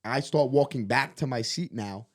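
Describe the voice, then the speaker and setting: A man's voice: mid-range voice, normal speed. A young man, co-hosting a casual chat and acting out his own reactions as he tells stories. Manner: animated storyteller, acts out his own reactions. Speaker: podcast co-host.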